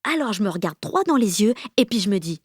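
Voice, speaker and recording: A woman's voice: medium pitch, very animated, fast talker, husky, no room sound, studio quality. An actress with a French accent playing a cocky sixteen-year-old girl who narrates her day in slangy, sweary audio fiction. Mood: cocky, brash. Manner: slangy, sweary, punchy inner monologue. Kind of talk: first-person audio fiction monologue.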